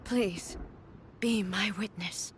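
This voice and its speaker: A woman voicing a regal, divine ruler in a game, speaking formally and with measured solemnity. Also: mid-range voice, slight background noise, natural voice. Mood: resolute. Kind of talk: game cutscene and battle voice lines.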